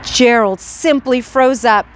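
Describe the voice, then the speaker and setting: A woman's voice: on the high side, takes it slowly, a little hiss or hum. A woman teacher reading a children's storybook aloud expressively, adding little asides to her listeners.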